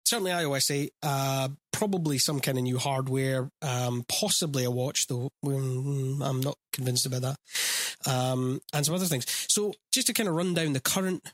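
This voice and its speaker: A man's voice: mid-range voice, moderate pace, no echo. A man with a mild Scottish accent hosting a casual tech podcast, steering the talk with a lot of ums and uhs. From Scotland. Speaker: podcast host.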